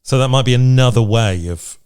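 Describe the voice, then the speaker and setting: A man's voice: medium pitch, fairly quick, darkish tone, clean tone. A man co-hosting a podcast in casual chat, with an encouraging, upbeat manner. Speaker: podcast co-host.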